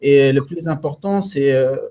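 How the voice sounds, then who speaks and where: A male student speaks slowly and deliberately in a mellow, warm-toned voice, pausing on fillers as he lists his advice. His pitch sits in the middle.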